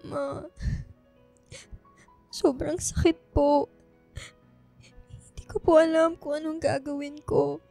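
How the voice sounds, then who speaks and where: High, creaky, plaintive Filipino daughter. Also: female voice, level tone, slow pace, pauses often, mellow, soft background music, heavily acted. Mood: sorrowful. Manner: plaintive, subdued, tearful delivery. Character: grieving, discouraged young student daughter, respectful to her mother. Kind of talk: Filipino radio drama acting.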